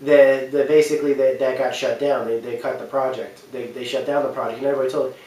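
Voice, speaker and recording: A man giving an unscripted opinion talk as a video commentator, speaking emphatically in a halting, repetitive, rambling way. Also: medium pitch, fairly even intonation, dark tone. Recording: very echoey room, distant microphone.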